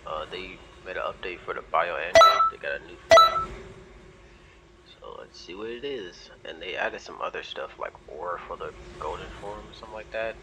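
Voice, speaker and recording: A man's voice: deep voice, expressive, moderate pace, heavy background noise. A young man giving casual, off-the-cuff gameplay commentary as a gaming YouTuber.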